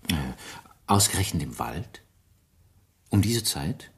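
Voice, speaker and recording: Low, husky police inspector. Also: male voice, sing-song, heavily acted. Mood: polite, probing. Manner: formal, measured, hesitant fillers. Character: polite, persistent male police inspector. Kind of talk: German radio crime drama acting.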